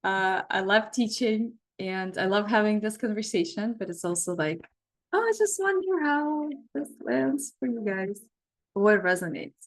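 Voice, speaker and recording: A woman's voice: medium pitch, lively intonation, unhurried. A middle-aged woman with a Russian accent, a therapist leading a casual workshop, talking thoughtfully and often restarting her sentences. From Belarus.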